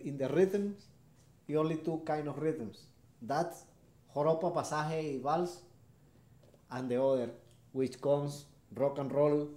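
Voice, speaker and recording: A man from Venezuela with a Venezuelan Spanish accent, a harp player answering interview questions in halting English, often repeating short yeses. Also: fairly high pitch, mellow, echoey room.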